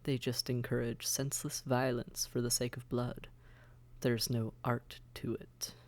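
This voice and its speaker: A man playing a suave, haughty demon prince in a roleplay audio drama, measured and condescending, a seductive tempter with a gravelly voice that often slips toward a whisper. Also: medium pitch, level tone, a little mellow, lightly acted. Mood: disdainful, curious. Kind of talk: scripted roleplay audio drama, addressed to listener.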